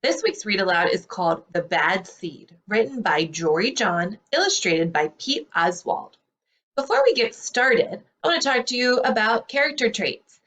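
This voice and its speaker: Woman teacher with lively, swooping pitch. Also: normal speed. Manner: clear, explanatory teacher talk. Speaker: school librarian giving a read aloud. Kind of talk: read-aloud lesson for young children.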